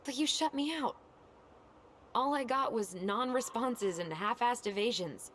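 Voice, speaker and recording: Guarded young sister in a video game, earnest and exasperated, natural delivery, some noise behind. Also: female voice, a little high, animated, unhurried, fairly bright tone. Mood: hurt, exasperated. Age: young adult.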